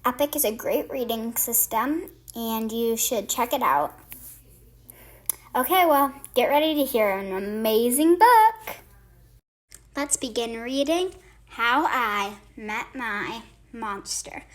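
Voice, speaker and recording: A woman with a fairly high voice reads a children's picture book aloud. Her pace is very slow and halting, with expressive emphasis on the phrases. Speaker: read-aloud host.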